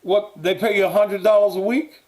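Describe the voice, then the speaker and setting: High-voiced man grilling a caller. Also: fairly even intonation, a little fast, a little mellow. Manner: blunt, pressing, repeats questions. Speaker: talk show host. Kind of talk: call-in radio talk.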